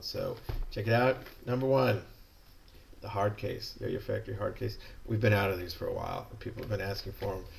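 A man's voice: on the low side, vocal fry, slight background noise. A middle-aged American man from California, a yo-yo shop owner, chatting casually and enthusiastically while unboxing products on a desk camera indoors. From California, USA.

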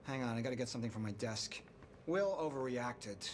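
An actor playing a driven, persuasive scientist in earnest, cinematic video game dialogue. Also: male voice, medium pitch, expressive, brisk, a little hiss or hum, natural voice. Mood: earnest, pleading. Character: driven, persuasive male scientist friend, secretly nervous. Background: music.

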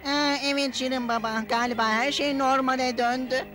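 Lady doing a little cartoon smurf guy, high voice, sporty n grumbly, expressive, music behind. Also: moderate pace, close to an everyday voice. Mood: relieved. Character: sporty male little blue smurf, grumbling but good-hearted. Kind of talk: animated cartoon dub. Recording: music under the voice.

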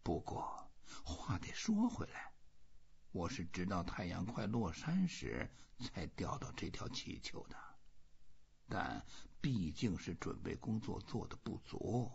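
A man voicing a weary, stoic old fisherman musing slowly to himself in an audiobook. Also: low voice, very animated, gravelly, darkish tone, slightly rough, exaggerated voice. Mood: musing, hushed. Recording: dull, muffled lo-fi audio.

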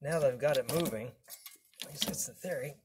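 A man's voice: mid-range voice, fairly quick, background noise. A man narrating his own car repair in a casual how-to style, plain-spoken and wry, with many fillers and restarts. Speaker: home mechanic showing a car repair.

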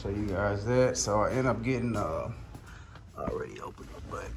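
A man's voice: fairly low pitch, normal speed, very noisy. A man in his thirties vlogging casually to a handheld camera on his driveway and in his garage, rambling with plenty of fillers. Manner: loose, rambling, lots of fillers. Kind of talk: casual vlog talk to camera. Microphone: handheld camera with foam mic.

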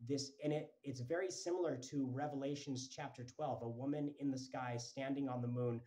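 A man's voice: medium pitch, fairly even intonation, normal speed, warm tone. A man in his thirties from Minnesota, talking earnestly into a handheld phone in a small indoor room, piling clause on clause with restarts as he makes a devotional case. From Twin Cities, Minnesota. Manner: fervent, rambling, piles up clauses with restarts. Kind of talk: earnest religious monologue to camera. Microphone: phone held close, selfie framing.

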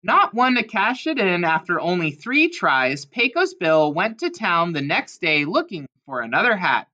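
A woman's voice: fairly low pitch, sing-song, normal speed, sharp tone, muffled recording. A woman reading a children's storybook aloud expressively, with dramatic emphasis on key words. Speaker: storybook reader.

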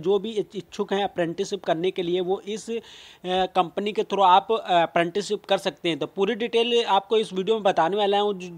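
A man hosting a job news channel, casually walking viewers through a recruitment notice, mixing English phrases into his Hindi and often restarting his sentences. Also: sits high. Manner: casual, filler-heavy reading with frequent restarts. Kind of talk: screen-recorded walkthrough of a recruitment notice.

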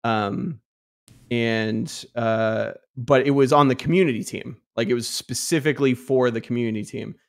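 A man's voice: mid-range voice, moderate pace. A young man, a guest on a podcast, talking casually over a video call and hesitating often. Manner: hesitant, many fillers. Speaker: guest, community team member. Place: home room, indoors. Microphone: headset over ears, video call.

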